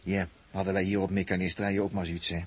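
An actor playing a wry, chatty crewman in a 1960s radio drama, full of droll asides, with a husky voice. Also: low voice, flat delivery, fast talker. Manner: droll asides, tutting exclamations, folksy hesitant phrasing. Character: wry, chatty male crewman watching and commenting.

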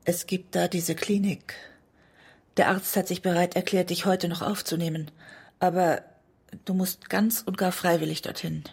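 Give the role of an anguished woman in love, in an intimate radio play. Her voice is rather low and very breathy, with a crackly edge. She speaks in a hushed, close manner. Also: fairly even intonation, normal speed, full character voice. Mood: pleading, tense. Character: anguished woman, lover of a self-destructive writer. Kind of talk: intimate German radio drama acting, part poetry.

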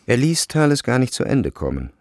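A man reading scripted literary narration for a radio play in a measured, precise way. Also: medium pitch, big pitch swings, no room sound, clean studio sound.

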